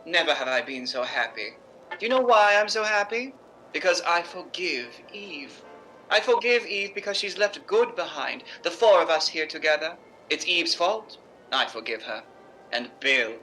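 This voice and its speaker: A man's voice: on the high side, lively intonation, normal speed, bright, forceful tone, soft background music, poor audio. A man playing an imperious middle-aged female stage diva in a radio drama, wry and declamatory. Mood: sardonic, giddy. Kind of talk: recreated 1950s radio drama acting.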